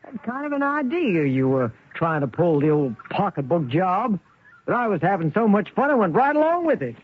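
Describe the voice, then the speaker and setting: An actress playing a shrewd, wealthy older widow in a theatrical, teasing radio drama style. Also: female voice, lowish voice, sing-song, moderate pace, slightly rough. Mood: knowing, confident. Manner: theatrical, teasing, folksy exclamations. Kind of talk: 1970s radio drama acting. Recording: tinny, noisy old radio recording.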